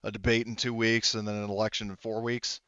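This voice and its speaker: A man improvising narration as a tabletop game master, rambling and haltingly correcting himself as he goes. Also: fairly low pitch, flat delivery, sharp tone, clean tone, no room sound, muffled recording. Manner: rambling, halting, self-correcting, full of "you know".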